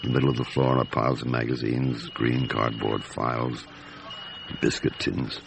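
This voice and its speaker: Radio drama detective lead: seasoned, gruff inspector, rock-bottom pitch with fry, deliberate and observant. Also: male voice, little pitch movement, noisy background, exaggerated voice. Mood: brooding, observant. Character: seasoned male police chief inspector, gruff, methodical, observant. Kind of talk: radio drama acting and narration.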